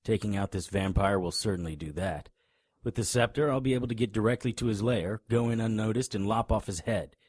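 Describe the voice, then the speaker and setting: Grim tough-guy monster hunter, low raspy voice, wisecracks, dry close audio drama sound. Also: a little fast, a little mellow, no room sound. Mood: cocky. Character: hard-boiled male monster hunter, grim, cocky, threatening. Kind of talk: audio drama acting with noir first-person narration.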